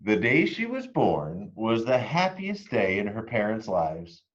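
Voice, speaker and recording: A man giving an expressive, emphatic children's read-aloud, as school staff would, with some words drawn out. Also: fairly low pitch, a little slow, highs cut off. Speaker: elementary school staff member reading aloud.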